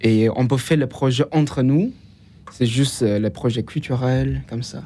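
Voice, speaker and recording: A young man with a foreign accent, a non-native French speaker, gives halting answers as a student guest in an interview. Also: medium pitch, dark tone. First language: not French, learned it after moving to France. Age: young adult.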